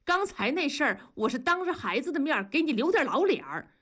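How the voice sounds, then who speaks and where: A woman voicing a fierce, sharp-tongued wife in an audiobook, jealous and scornful, scolding and interrogating. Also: fairly high pitch, big pitch swings, a little fast, husky, voice pushed up. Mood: accusing. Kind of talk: audiobook character dialogue.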